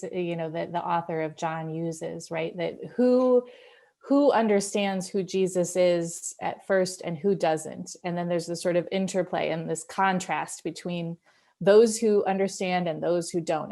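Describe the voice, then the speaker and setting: A woman with a voice a little lower than most leads a church discussion group. She speaks informally and reflectively, like a pastor explaining scripture, and often checks in with a small tag question. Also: dark tone. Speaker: group leader and pastor of a church Bible study. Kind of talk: casual Bible study discussion.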